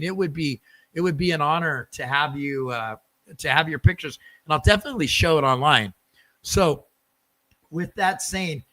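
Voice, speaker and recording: A man's voice: fairly high pitch, bright, forceful tone. A man hosting a sports fan podcast, chatting casually and informally with enthusiasm. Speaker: podcast host.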